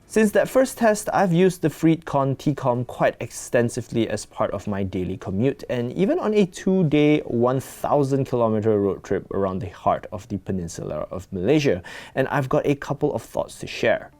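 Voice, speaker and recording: A man's voice: mid-range voice, big pitch swings, normal speed, a little mellow. A man narrating a scripted product review as the host, in a conversational, lightly wry manner.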